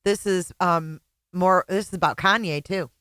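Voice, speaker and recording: A woman giving casual reaction commentary on old interview clips, chatty with quick asides. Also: fairly low pitch, over loud music.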